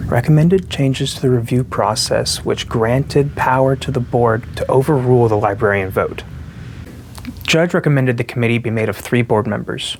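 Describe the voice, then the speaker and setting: A young man presenting a student news report, reading from notes with some filler pauses. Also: medium pitch, slight background noise. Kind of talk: scripted news-style narration.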